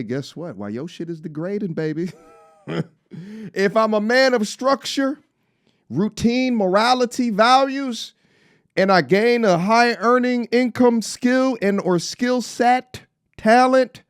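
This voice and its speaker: A man in his forties giving opinionated reaction commentary in an emphatic, preachy manner, often posing rhetorical questions. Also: fairly high pitch. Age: 40s.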